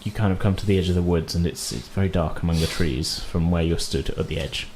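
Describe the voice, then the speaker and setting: A man's voice: sits low, fast, darkish tone, a little hiss or hum. A man hosting and game-mastering a tabletop roleplay podcast in an informal, casual, slightly hesitant way, rephrasing as he goes.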